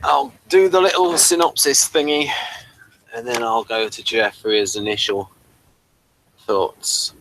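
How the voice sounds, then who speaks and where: Bright-voiced man hosting a casual review. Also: mid-range voice, fairly even intonation, unhurried, pauses often, slight background noise, low-quality recording. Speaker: host of a review show.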